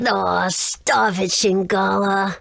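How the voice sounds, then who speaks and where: A woman playing a tender, excitable child in a video game, with a raspy, creaky voice. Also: mid-range voice, slow, dry recording, clean studio sound, heavily acted. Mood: bashful, fond.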